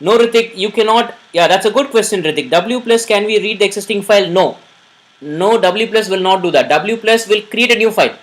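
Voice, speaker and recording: A man's voice: sits high, a little fast, a bit bright, rough recording. Man teaching coding, repeating himself. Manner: repeats points, often checks in with listeners. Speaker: programming instructor. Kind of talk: live online lesson.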